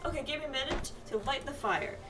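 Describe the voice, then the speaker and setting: A woman voicing a friendly, courteous young male astrologer who cooks at camp in a game, with a gentle, slightly hesitant manner. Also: high-pitched, sing-song, quick pace, recorded from a distance, over music, voice pushed up. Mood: cheerful, hesitant. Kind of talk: voiced game dialogue.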